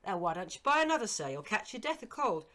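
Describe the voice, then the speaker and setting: A woman's voice: mid-range voice, sing-song, fast, pushed and bright, voice pushed up. A woman reading a storybook and voicing a deferential, concerned Victorian working man, respectful and urgent. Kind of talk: storybook character acting.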